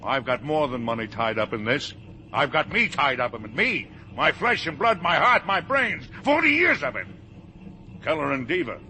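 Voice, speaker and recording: A man's voice: fairly high pitch, very animated, moderate pace, heavily acted. A man playing a hard-driving, self-made older factory owner in a 1950 radio drama, bitter and emphatic. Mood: forceful, urging. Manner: forceful, bitter, emphatic repetition. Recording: noisy vintage radio broadcast.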